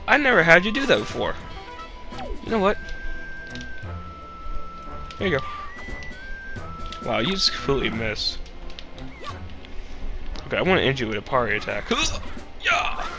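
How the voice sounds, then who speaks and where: A man's voice: medium pitch, sing-song, a little slow, pauses often, shouting, music in the background. A young man hosting a Let's Play with casual, rambling, jokey gameplay commentary and a slightly stuffy nose. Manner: rambling, goofy, jokey asides.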